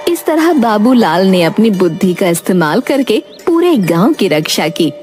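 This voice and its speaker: A woman tells a scripted fairy tale for children. Her pitch is moderate, in the manner of a cartoon storyteller. Light music plays behind her. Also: very animated, moderate pace, a little mellow.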